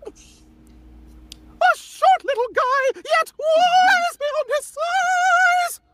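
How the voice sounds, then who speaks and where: A woman playing an ancient, excitable fairy monarch with grandiose, theatrical declaiming, as in a live fantasy table read. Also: extremely high pitch, heavy background noise, full character voice. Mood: solemn, grand. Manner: grandiose, slow, dramatic declaiming.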